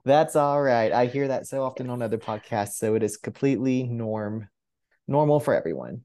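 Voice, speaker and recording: A woman's voice: a bass voice, normal speed, warm tone, dry recording, studio quality. A woman from North Carolina co-hosting a podcast, chatting casually and laughing along. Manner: laughs often, supportive listener. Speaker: podcast co-host. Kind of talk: casual chat.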